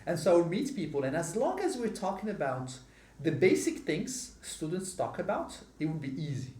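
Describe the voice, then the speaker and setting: A man from Morocco hosting a podcast, telling stories in a casual, animated way. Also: moderate pace. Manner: animated, grows lively and high when telling stories. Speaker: podcast host. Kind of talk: casual conversational storytelling. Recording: roomy echo.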